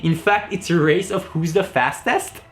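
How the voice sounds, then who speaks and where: A man's voice: a little high, normal speed, fairly bright tone, faint music behind. A young man with a Hungarian accent, guest-hosting with playful, sarcastic asides. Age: young adult. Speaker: guest host. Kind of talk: joking commentary.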